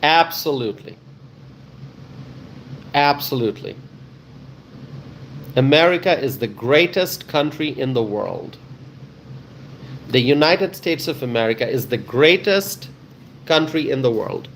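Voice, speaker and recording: A man's voice: medium pitch, animated, unhurried, long pauses, a bit bright, a little hiss or hum. A man from Bangladesh hosting a casual livestream, reading out viewer comments and answering them, often repeating phrases for emphasis. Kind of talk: casual live chat with viewers.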